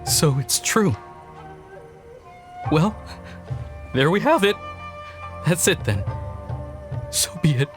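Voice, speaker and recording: Forlorn, slow, high-voiced young man. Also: very animated, over music. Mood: crestfallen. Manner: dejected, halting. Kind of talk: English game dub acting.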